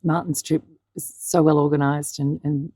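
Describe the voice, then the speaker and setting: A woman from Melbourne, speaking in a warm, effusive way. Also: deep voice, flat delivery, moderate pace, no echo. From Melbourne, Australia.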